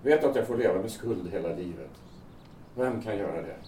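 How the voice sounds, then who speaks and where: A man's voice: fairly low pitch, expressive, warm tone, natural voice. A man acting in an audio drama as a despairing, guilt-ridden man, agitated and bitter. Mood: desperate, challenging. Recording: roomy, distant, noisy amateur-sounding recording.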